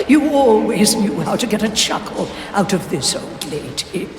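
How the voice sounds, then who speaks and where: An actress voicing a dotty, eerily cheerful old undead crone with a creaky, theatrical delivery in a video game. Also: female voice, medium pitch, recorded from a distance, noisy background, full character voice. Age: older. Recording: echoey long reverb, set back.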